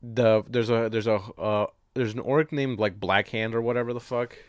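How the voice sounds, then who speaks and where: A man's voice: mid-range voice, steady tone, normal speed. A man co-hosting a podcast, talking casually about movies in a rambling, jokey way, repeating himself and swearing freely. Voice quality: slangy, profane. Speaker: podcast co-host. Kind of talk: casual film chat.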